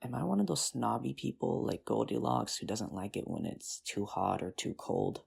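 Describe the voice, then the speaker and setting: A performer in an ASMR roleplay playing a nerdy, earnest high school teacher, rambling with hesitant, self-deprecating charm in an intimate, conversational way. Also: very animated, moderate pace, vocal fry, darkish tone, slightly rough, full character voice. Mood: mild grumbling.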